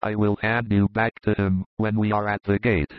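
A man voicing a jovial, confident Roman centurion in an audiobook, in a low, confiding, easygoing voice. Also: flat delivery, moderate pace, dry recording, close to an everyday voice. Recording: dull lo-fi dry recording.

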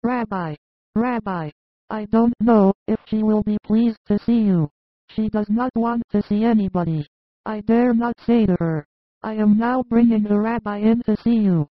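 A man's voice: high-pitched, normal speed, dry recording, pitched above the performer's own voice, close to an everyday voice. A man voicing an anxious, weary daughter-in-law in a storybook reading, speaking in a raised, pleading voice. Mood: timid. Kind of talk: audiobook character voice. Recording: dry, tinny phone-like sound.

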